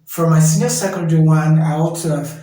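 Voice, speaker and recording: A Nigerian man in his thirties, a guest in a casual interview, talking haltingly with many fillers and frequent checks of "you understand?". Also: fairly high pitch, steady tone, dark tone. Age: 30s. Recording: heavy echo with faint music.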